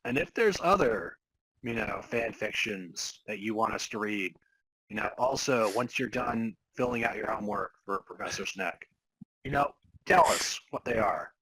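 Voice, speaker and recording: A man's voice: on the low side, animated, normal speed, bright, forceful tone, breathy edge, dry recording, poor audio. A man co-hosting a podcast in casual, bantering conversation. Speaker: podcast co-host.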